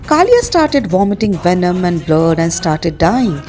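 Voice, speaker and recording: A woman's voice: lowish voice, very animated, unhurried, darkish tone. A woman narrating a dramatized story for a student production in a scripted storytelling style. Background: music.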